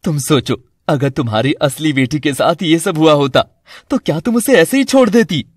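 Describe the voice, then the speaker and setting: Man playing an earnest, husky father. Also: a little high, animated, moderate pace, no room sound, studio quality. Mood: persuasive. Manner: earnest, persuasive. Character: earnest, protective Indian husband and father.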